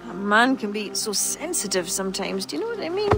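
A woman's voice: mid-range voice, big pitch swings, fairly quick, vocal fry, over music. An actress playing a brash, tipsy Irish woman poet with a theatrical, wry way of talking.

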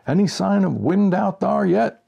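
A man reading a storybook, voicing a cocky, excitable backwoods outdoorsman with a folksy rural American accent. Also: sits high, measured pace, vocal fry, husky, highs cut off, voice pushed up, heavily acted. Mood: excited. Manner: folksy, exclamatory.

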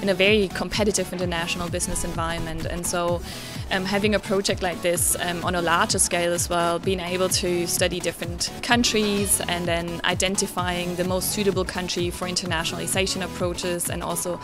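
A woman's voice: on the low side, level tone, fairly quick. A woman in her thirties, an international business professor, speaking fluently into a handheld mic in an interview. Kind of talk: on-camera interview comments. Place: indoor room at a rooftop venue. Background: background music.

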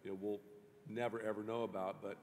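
A middle-aged man, a pastor, interviewing a guest on a church stage in an animated way, with many restarts. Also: fairly low pitch, fast talker, slight background noise. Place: large church stage before an audience. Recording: long hall reverb.

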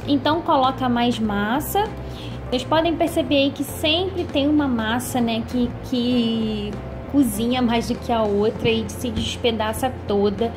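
A woman's voice: a little high, a little slow. A Brazilian woman hosting a home cooking tutorial in a chatty voice-over, often checking in with "tá?". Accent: Brazilian Portuguese. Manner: chatty, frequent "tá?" check-ins. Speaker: cooking channel host. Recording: music bed underneath.

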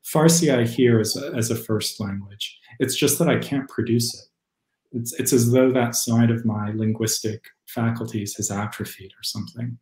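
A man's voice: mid-range voice, normal speed, a little mellow. Thoughtful man on an echoey video call. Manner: thoughtful, hesitant with many fillers. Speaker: poet and critic, guest. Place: home room. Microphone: video call webcam. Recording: roomy echo.

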